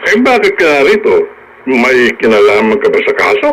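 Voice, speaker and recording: An actor playing a measured, businesslike attorney in a radio drama, deliberate and questioning, with a Filipino accent. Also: male voice, mid-range voice, sing-song, a bit bright. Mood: serious, probing. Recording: noisy radio drama broadcast.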